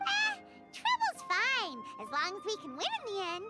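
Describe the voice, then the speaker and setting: Tiny game fairy, extremely high squeaky pitch, animated, cheeky, chirpy, childlike, loud backing music. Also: female voice, moderate pace, heavily acted. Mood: annoyed. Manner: chirpy, exclamatory, speaks of herself in third person. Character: tiny excitable fairy companion, childlike, cheeky, easily annoyed. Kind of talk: anime-style video game voice acting.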